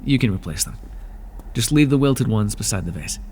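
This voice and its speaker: A man voicing a proud, weary male god of the underworld in an ASMR roleplay, with a dry, aloof manner and a quiet curiosity. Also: on the low side, expressive, normal speed, background noise, close to an everyday voice. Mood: curious.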